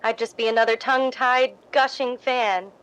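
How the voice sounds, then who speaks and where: Woman playing a timid, high-voiced young admirer. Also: fairly even intonation, moderate pace, a bit bright, clean tone, natural voice, rough recording. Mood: shy, deferential. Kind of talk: 1950s radio drama acting.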